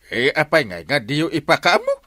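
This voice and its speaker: An actor playing a slow-witted, jovial village captain in a comedy radio drama, hesitant and bumbling, with a northern Philippine Ilocano accent. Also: male voice, a little high, huge pitch swings, sharp tone, no room sound, studio quality, heavily acted.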